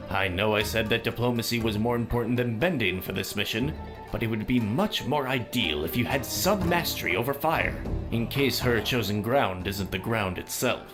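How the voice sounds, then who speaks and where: Man playing a measured older mentor, low lively voice. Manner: measured, formal, deliberate. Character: calm, shrewd older male mentor and sage. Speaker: teacher. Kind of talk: visual novel voice acting. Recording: music behind the voice.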